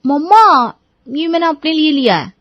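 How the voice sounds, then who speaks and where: A woman voicing an exasperated, defiant young daughter who pleads and talks back in an animated story. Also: high-pitched, moderate pace, no echo, highs cut off, voice pushed up. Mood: pleading. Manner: pleading, whiny back-talk. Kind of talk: Hindi animated moral story dialogue.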